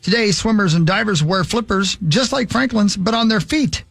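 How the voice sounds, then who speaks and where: Raspy, creaky-voiced man on morning radio. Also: sits high, lively intonation, moderate pace, a bit bright, no echo, soft background music. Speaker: morning radio show host. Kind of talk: casual radio banter and trivia.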